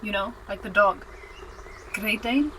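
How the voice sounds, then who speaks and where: A woman's voice: mid-range voice, a little slow, a bit bright, recorded from a distance, a little hiss or hum, natural voice, low-quality recording. A young woman playing a polite, hesitant cleaner and parent in an amateur radio drama. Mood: polite, awkward. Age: teenager.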